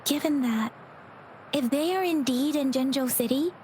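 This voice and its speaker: A woman voicing a calm, thoughtful young woman in a video game, measured and gently earnest. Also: high-pitched, slight background noise, natural voice. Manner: measured, analytical.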